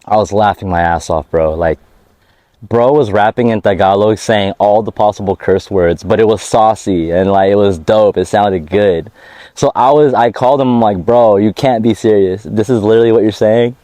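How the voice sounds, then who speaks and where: A man's voice: fairly low pitch, moderate pace, mellow, no echo. A man giving a casual interview about his music, talking in a laid-back slangy way and laughing often. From United States. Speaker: rapper being interviewed. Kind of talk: casual interview chat on a hike. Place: outdoors on a hike.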